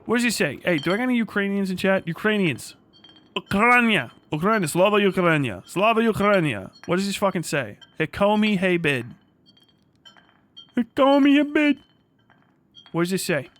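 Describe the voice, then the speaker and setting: A man's voice: high-pitched, very animated, normal speed, a bit bright. A man livestreaming casually while gaming, chatting in a rambling, profane, slangy way. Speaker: livestreamer reacting to a video. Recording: clearly noisy livestream audio with clicking.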